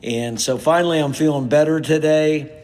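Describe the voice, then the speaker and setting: A middle-aged man hosting his own podcast in a casual, conversational way, sounding a little hoarse and nasal from a cold. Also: on the high side, normal speed, a little mellow. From United States. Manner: conversational, with frequent fillers. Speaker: podcast host, real estate agent. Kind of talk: casual solo podcast talk.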